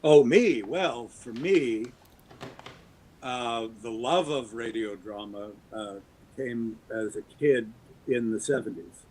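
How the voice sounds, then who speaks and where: A man's voice: mid-range voice, takes it slowly, a little mellow, slight background noise, low-quality recording. A man in his fifties from the US East Coast, a guest actor fondly reminiscing about old radio drama in casual chat. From US East Coast, Baltimore. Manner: reminiscing, thoughtful.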